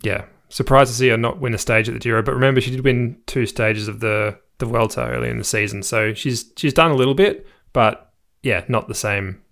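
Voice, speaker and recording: A man co-hosting a cycling podcast, talking in a relaxed, thoughtful way. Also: mid-range voice, fairly quick, vocal fry. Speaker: podcast co-host. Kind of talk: casual cycling chat.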